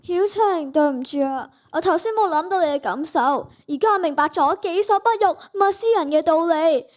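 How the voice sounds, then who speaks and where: A girl performs an excitable primary schoolgirl in a radio play. The voice is high and young, with a Hong Kong Cantonese accent. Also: normal speed. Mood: remorseful, earnest. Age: child, primary school student. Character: excitable schoolgirl, first mocking, later remorseful. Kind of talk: school radio drama acting.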